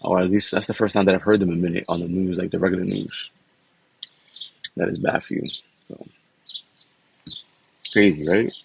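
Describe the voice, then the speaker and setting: A man's voice: sits low, steady tone, normal speed, vocal fry, pauses often, slight background noise, poor audio. A man, talking in a loose, casual way and often tagging his sentences with "man" and "you know.". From New York City. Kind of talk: casual personal vlog talk.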